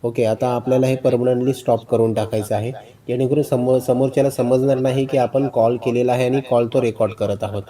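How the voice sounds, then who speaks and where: A man's voice: medium pitch, fairly even intonation, a little fast, dark tone. A man narrating a phone tutorial step by step, often repeating instructions and check phrases. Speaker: tutorial host.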